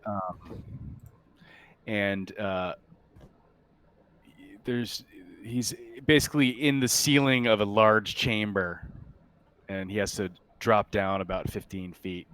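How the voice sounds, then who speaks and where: A man acting as game master, describing scenes in a casual, halting, improvised way during a tabletop game. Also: on the low side, fairly bright tone, clean tone.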